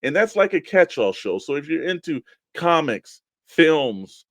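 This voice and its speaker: A man's voice: fairly high pitch, big pitch swings, measured pace, no room sound, clean studio sound. A man co-hosting a toy collecting show, giving promotional reads and casual plugs.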